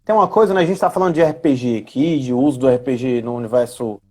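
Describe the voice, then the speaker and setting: A man with a Brazilian accent explaining things in a casual live discussion, deliberate and pausing between phrases. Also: mid-range voice, darkish tone, faint music behind. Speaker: live stream discussion participant. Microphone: live video call.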